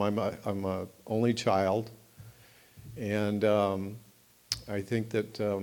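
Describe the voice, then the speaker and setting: Middle-aged man, low voice, slow deliberate pace; nervous personal testimony with lots of "uh" and "um" and restarts. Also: darkish tone. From United States. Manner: halting, many fillers and restarts. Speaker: recovery meeting speaker.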